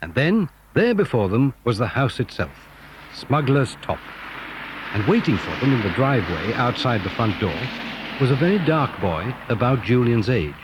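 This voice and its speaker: A man narrating a scripted children's adventure story in an animated storytelling manner. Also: medium pitch, normal speed, noisy background.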